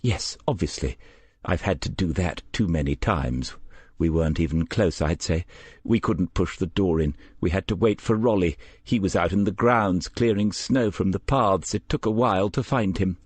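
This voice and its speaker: A man's voice: deep voice, normal speed, breathy edge, no room sound. A man voicing a blunt, matter-of-fact woman supervisor in an audiobook. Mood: curt.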